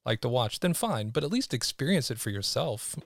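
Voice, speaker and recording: A man's voice: mid-range voice, brisk, creaky, no room sound. A man in his forties hosting a podcast, chatting casually and animatedly, acting out what other people say. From United States. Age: 40s. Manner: animated, quotes people in lively voices. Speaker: podcast host.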